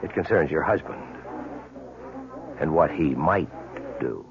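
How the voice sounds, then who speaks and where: An actor playing a polite, guarded New York police lieutenant in a radio mystery, questioning in a measured way. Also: male voice, rumbling low, lively intonation, vocal fry, heavily acted. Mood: polite, insistent. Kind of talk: old-time radio mystery acting. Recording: dull, noisy old radio recording.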